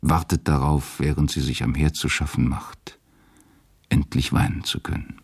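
A man's voice: a bass voice, steady tone, moderate pace. A man giving scripted literary narration as the narrator of a radio drama.

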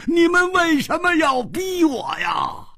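A man's voice: huge pitch swings, slow pace, slightly rough, no room sound, exaggerated voice. A man voicing a flustered, panicky young swordsman who stammers in comic distress. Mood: frantic. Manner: stammering, squealing high.

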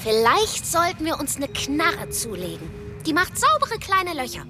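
A woman's voice: high-pitched, big pitch swings, measured pace. A woman playing a timid, naive young-sounding prostitute in a radio drama, hesitant and a little whiny. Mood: petulant. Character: timid, naive, girlish female prostitute, reluctant killer accomplice. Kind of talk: German radio drama acting.

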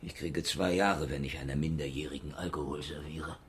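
An actor playing a hard-drinking, sardonic male private detective in a radio drama, gruff, mocking and deadpan. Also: rumbling low, fairly quick. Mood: dry teasing.

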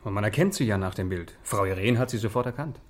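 A man's voice: on the low side, fast, natural voice. An actor in a radio play playing an eager police detective, earnest and respectful, a little hesitant with his superior. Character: eager, deferential male police detective proposing ideas to his chief.

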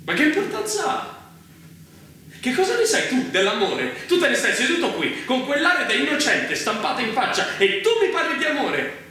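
An actor playing a righteous, embattled rebel leader in a dystopian stage drama, tense and indignant, moving between muttered demands and outbursts. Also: male voice, very high-pitched, sing-song, moderate pace, bright, forceful tone, slight background noise, exaggerated voice. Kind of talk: intense Italian stage drama acting. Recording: very echoey, distant stage recording.

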